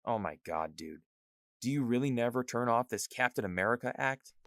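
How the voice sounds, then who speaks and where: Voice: medium pitch, lively intonation, brisk, fairly bright tone, no echo, studio quality. A performer playing a resentful, hot-headed young male ranger in a fan audio drama who lashes out at others. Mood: bitter. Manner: resentful, lashing out. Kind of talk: amateur audio drama acting.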